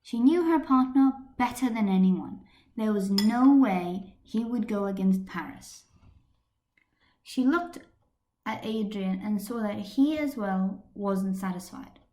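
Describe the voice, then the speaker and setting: A woman's voice: medium pitch, lively intonation, slow pace, dark tone. A woman reading fan fiction expressively as an amateur narrator, recorded on a computer microphone. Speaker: amateur podfic reader. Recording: echoey room, computer microphone.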